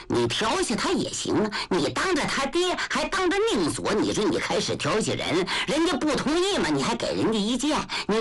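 A woman's voice: fairly high pitch, breathy edge, pitched above the performer's own voice, heavily acted. A woman voicing a blunt, muddle-headed old male general who talks back brashly in a traditional storytelling performance. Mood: scolding.

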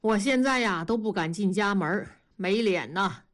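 A woman's voice: mid-range voice, expressive, normal speed, lightly acted. Woman playing a sarcastic, grumbling male factory worker. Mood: dejected grumbling. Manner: griping, colloquial, sarcastic.

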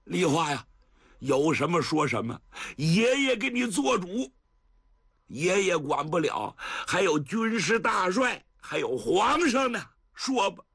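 A man's voice: very animated, measured pace, slightly rough, dry recording, heavily acted. Man playing a fiery old general. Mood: tender pleading. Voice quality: shouting, sputtering, high and strained. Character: hot-tempered old man, a blustering grandfatherly general.

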